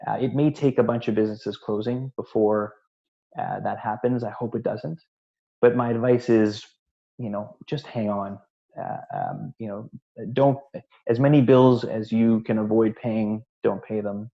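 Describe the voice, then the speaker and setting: A man with a fairly low, gravelly voice gives advice on a muffled, lo-fi conference call. He is plain-spoken and hesitates often as he talks. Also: lively intonation, measured pace. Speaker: expert panelist giving advice. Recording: dull lo-fi conference call audio.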